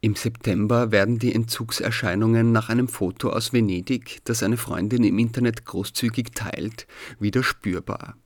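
A man from Austria reads a scripted first-person audio diary as narrator, in a measured, dryly wry way. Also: fairly low pitch, fairly even intonation, no echo, clean studio sound.

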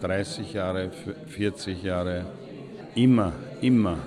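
A man playing a dreamy older idealist who muses haltingly about the past in an audio drama. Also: low voice, crowd noise. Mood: wistful. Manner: musing, halting.

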